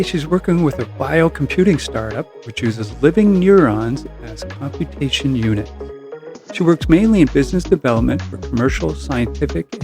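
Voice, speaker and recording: A middle-aged man hosting a podcast interview, friendly and a little halting, with fillers and restarts. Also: medium pitch, gravelly. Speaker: podcast host.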